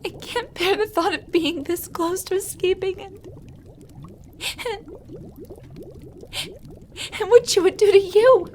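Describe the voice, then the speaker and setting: Woman playing a high-pitched, pleading tiny enchanted doll. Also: slow, long pauses, a little mellow, slight background noise. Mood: panicked. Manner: breathy, hesitant, urgent pleading. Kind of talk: roleplay audio drama acting.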